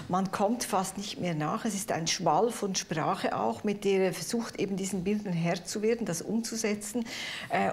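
Swiss lady critic on a tv panel, middle pitch, eager descriptive talk with lots of ähs, bit of faint noise. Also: darkish tone. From Switzerland. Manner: engaged and descriptive, with frequent hesitations. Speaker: literary critic. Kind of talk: televised panel discussion. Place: TV studio with a live audience.

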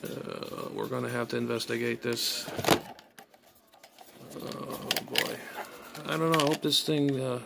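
Male hobbyist vlogger, mid-range pitch, halting think-aloud delivery, casual and unrehearsed, noisy phone recording. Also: steady tone, creaky. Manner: hesitant, muttering, thinking aloud. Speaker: amateur radio hobbyist vlogger. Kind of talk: casual unscripted gadget unboxing commentary. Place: small bedroom, indoors. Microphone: phone at arm's length.

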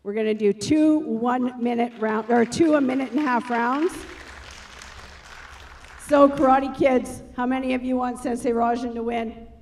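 A middle-aged woman with a fairly high voice announces a live martial arts demonstration. She talks to the audience through a handheld microphone from a large theatre stage, explaining things as an instructor. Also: steady tone, moderate pace, a little mellow, some chatter behind.

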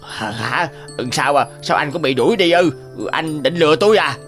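A man's voice: a little high, lively intonation, moderate pace, fairly bright tone. A man voicing a greedy, cunning male tanuki in a cartoon, who turns whiny when caught. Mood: suspicious. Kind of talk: cartoon voice acting. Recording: faint music behind.

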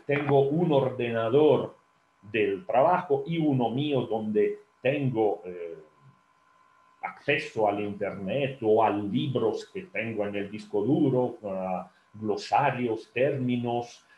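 A man whose first language is Italian lectures students over a video call in a slow, halting way. He fills pauses with ums and restarts, and often turns to ask the audience questions. His mid-pitched voice sounds roomy and echoey. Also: highs cut off. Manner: hesitant, many fillers and restarts, asks the audience. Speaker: telephone interpreter giving a talk.

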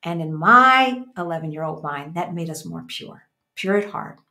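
A woman's voice: lowish voice, animated, normal speed, bright, forceful tone. Emphatic American woman chatting on a livestream. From United States. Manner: emphatic, repeats phrases for emphasis. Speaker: co-host of a livestream. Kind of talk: casual livestream chat, reading out viewer comments. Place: small indoor room at home.

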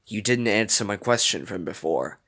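A man's voice: fairly low pitch, lively intonation, moderate pace, fairly bright tone, breathy edge, no echo, studio quality. A man voicing a blunt, guarded young woman in a dramatic story, curt and defensive.

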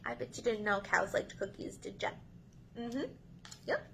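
A woman in her thirties leading a children's story time, reading aloud in an animated, sing-song way to young listeners. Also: on the high side, unhurried, vocal fry, background noise. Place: small indoor room.

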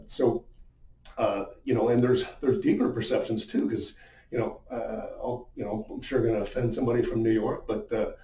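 Male business coach, middle-aged, mid-pitched mellow voice, chatty explainer style, recorded in a roomy, echoing space. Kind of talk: informal instructional talk at a whiteboard. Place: small indoor room with a whiteboard. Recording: roomy, muffled, distant-sounding echo.

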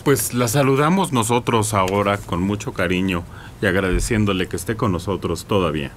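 A middle-aged man with a fairly low voice hosts a radio show from a small padded studio. He talks into a desk microphone. He jokes and laughs easily. A faint background hiss sits under his voice. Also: a little fast. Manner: lively, joking, laughs often. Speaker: radio host. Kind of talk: radio news chat.

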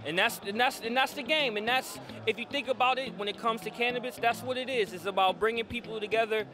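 A man with a high-set voice hosts a casual interview on a handheld microphone at a convention. He talks in an animated, slangy way and repeats phrases to hype things up. Music plays behind him. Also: fast. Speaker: show host interviewer. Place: indoor convention hall booth.

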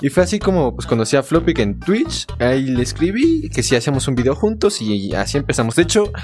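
A man's voice: mid-range voice, big pitch swings, a little fast, darkish tone. A young man from Mexico hosting a joking question-and-answer video with a friend. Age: young adult. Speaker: YouTuber host. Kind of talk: casual chat in virtual reality. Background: music.

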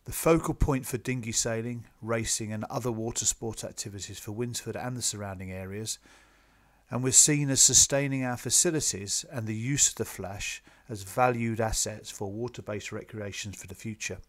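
Guy with a lowish voice talking thru slides for club members, businesslike, semi scripted. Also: normal speed. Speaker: sailing club officer presenting to members. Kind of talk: slide presentation, semi-scripted explanatory talk.

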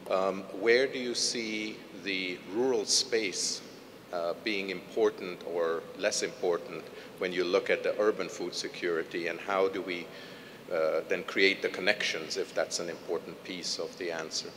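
A middle-aged man with a very deep voice asks a considered question, pausing on fillers along the way. He speaks into a handheld mic in a large hall full of long reverb and a faint low rumble. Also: steady tone, a bit bright. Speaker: audience member asking a question. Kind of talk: conference question.

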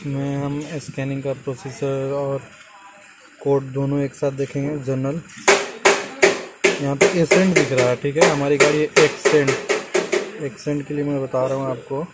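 A man's voice: mid-range voice, level tone, unhurried, long pauses, very noisy. A young man, a car mechanic, explains a repair step by step while he works on an engine, filmed close on a phone. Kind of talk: hands-on repair tutorial. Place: inside a car and at the open engine bay, outdoors.

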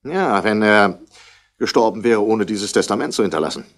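A man's voice: fairly low pitch, expressive, moderate pace, close to an everyday voice. An actor playing a haughty, irritable wealthy man in a 1960s radio play, speaking in a curt, formal way. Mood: cool, formal.

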